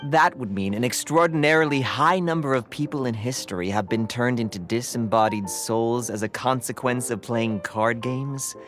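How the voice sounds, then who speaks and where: A man's voice: medium pitch, moderate pace, hardly any pauses, fairly bright tone, faint music behind, lightly acted. A man voicing a polite, knowledgeable young card-game mentor in a video game, patiently explaining. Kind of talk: video game character acting.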